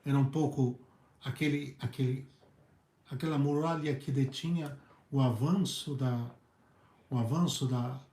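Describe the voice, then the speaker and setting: A hoarse male priest with a Brazilian Portuguese accent, preaching earnestly in halting phrases and often repeating his words. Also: medium pitch, steady tone, warm tone. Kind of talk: preached talk on a video channel. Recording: echoey room.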